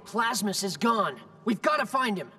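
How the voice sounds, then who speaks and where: Serious teen boy hero leader, highish voice, terse, game cutscene, faint music. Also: very animated, moderate pace, pushed and bright, recorded from a distance, heavily acted. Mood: serious, intense. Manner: terse, commanding. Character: serious, intense teenage boy superhero leader. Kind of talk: video game cutscene acting.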